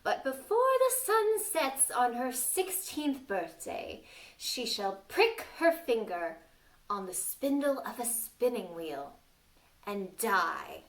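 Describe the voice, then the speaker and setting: A woman voicing a vengeful, sneering evil witch from a storybook, proclaiming menacingly in drawn-out phrases. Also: a little high, big pitch swings, heavily acted. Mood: vengeful menace. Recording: distant microphone.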